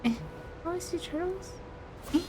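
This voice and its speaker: A woman's voice: extremely high pitch, animated, moderate pace, noisy background. A woman with a playful manner, casually chatting and reading aloud as she plays a video game. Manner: giggly, playful. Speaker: game streamer.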